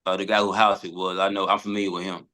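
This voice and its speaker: A man's voice: low-pitched, level tone, racing, sharp tone. A man chatting casually as a podcast guest, often checking that listeners follow. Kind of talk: casual chat.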